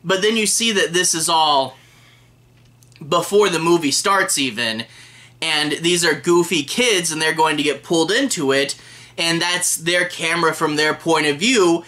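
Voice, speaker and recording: A man's voice: fairly high pitch, measured pace, sharp tone. A man in his thirties chatting enthusiastically and casually to camera as a film reviewer. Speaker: movie reviewer, host. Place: small room lined with DVD shelves.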